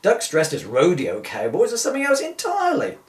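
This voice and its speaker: A man's voice: sits high, wildly swooping pitch, a little fast. A man playing a dim, literal-minded rodeo showman in a comic reading, matter-of-fact and pedantic about absurd things. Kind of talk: comic two-hander read from a book. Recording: distant, echoey room recording.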